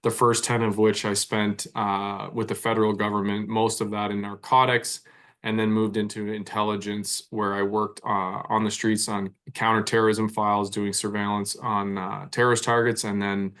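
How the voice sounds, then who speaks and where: A man's voice: fairly low pitch, level tone, normal speed, a bit bright. A man from Canada in his forties, a mindset coach speaking as a podcast guest in a reflective way, often repeating words as he thinks. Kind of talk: conversational podcast interview.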